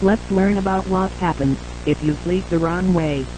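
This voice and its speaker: A man's voice: high-pitched, normal speed, background noise, natural voice. A man playing a cheerful, patronizing presenter of a retro educational sleep video for children.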